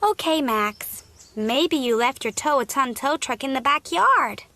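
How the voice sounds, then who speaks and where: A woman voicing a bossy young girl bunny in a children's cartoon, expressive and instructing. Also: sits high, a little slow, full character voice. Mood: patiently exasperated. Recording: music behind the voice.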